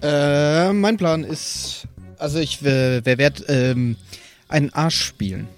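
A man with a slightly high voice talks casually about role-play characters. He sounds like a podcast co-host in easy conversation, with music playing faintly behind him. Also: takes it slowly. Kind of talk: casual chat about creating game characters. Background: background music.

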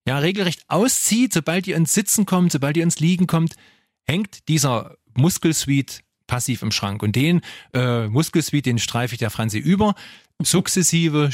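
A man's voice: on the high side, dry recording, clean studio sound. A man in his forties speaking as a fitness trainer, explaining things informally with examples and frequent tag questions. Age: 40s. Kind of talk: casual explanatory coaching talk.

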